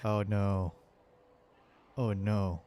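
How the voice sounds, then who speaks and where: A man's voice: fairly low pitch, little pitch movement, very slow, dark tone, a little hiss or hum. A man live streaming a game with casual, sweary commentary, often repeating his phrases. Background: game music.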